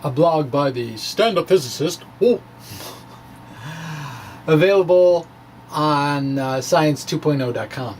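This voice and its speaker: Middle-aged science blogger, mid-pitched voice, reading aloud informally with emphatic, halting phrasing and asides; noisy ambient recording. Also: animated, darkish tone. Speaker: amateur physicist and blogger. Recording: background noise, ambient sound.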